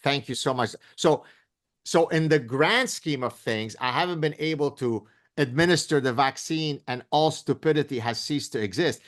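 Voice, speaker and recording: A man's voice: a little high, moderate pace. A man in his fifties, a professor guest in an interview, talking in an emphatic, sarcastic, lecturing way. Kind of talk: interview conversation.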